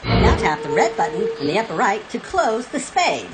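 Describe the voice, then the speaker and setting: Woman with a very lively, sing-song lilt. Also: medium pitch, normal speed, low-quality recording. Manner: short encouraging prompts and praise. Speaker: in-game instructor. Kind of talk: step-by-step instructions for a children's game. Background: loud game music.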